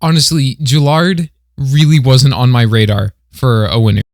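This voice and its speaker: A man's voice: mid-range voice, fairly even intonation, normal speed, no room sound, clean studio sound. A man chatting casually as a guest on a podcast.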